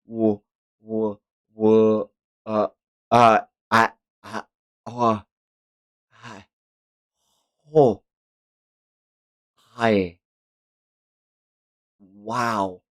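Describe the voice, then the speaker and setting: A man's voice: fairly low pitch, flat delivery, extremely slow, pauses often. A man hosting a live read-along, reacting casually and expressively, with exclamations and some swearing. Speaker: livestream host reading manga aloud.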